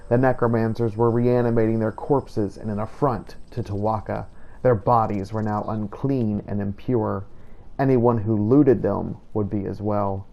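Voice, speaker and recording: A man narrating a fantasy game adventure as a scripted story, in a measured, solemn manner. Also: lowish voice, fairly even intonation, vocal fry, dark tone, muffled recording. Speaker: narrator of a game roleplay playthrough.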